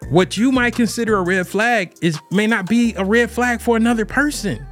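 A man's voice: high-pitched, moderate pace, fairly bright tone, soft background music. A man hosting a show alone and talking casually about relationships, rambling with lots of fillers and restarts. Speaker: podcast host. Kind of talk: casual solo talk on relationships.

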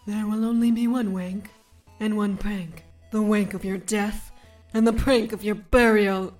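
A woman voicing a pompous, quick-to-rage male supervillain who delivers grand, drawn-out threats. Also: fairly even intonation, a little mellow, faint music behind, lightly acted. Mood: menacing. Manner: booming, drawn-out theatrical threats.

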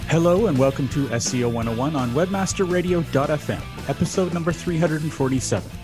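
A man's voice: a little high, quick pace, music in the background. A Canadian man hosting a radio show, chatting casually and laughing often. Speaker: podcast host.

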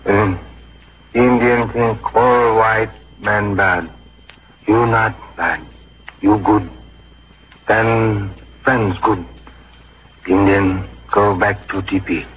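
A man's voice: on the low side, fairly even intonation, extremely slow, pauses often, husky, heavily acted. A man acting in a 1950s radio drama as a grave, wary tribesman who speaks in terse, broken phrases. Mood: grave, conciliatory. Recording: dull 1950s radio recording.

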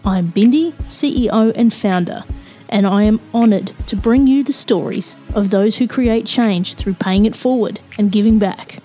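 Australian woman podcast host, mellow and warm, earnest measured read, soft music behind. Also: mid-range voice. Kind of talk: scripted podcast intro and interview questions.

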